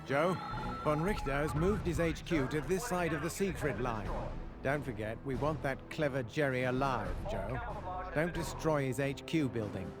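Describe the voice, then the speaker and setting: An actor playing a well-spoken, genial senior military adviser in a war game, giving polite, articulate briefings. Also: male voice, medium pitch, normal speed, natural voice. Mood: brisk. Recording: loud music under the voice.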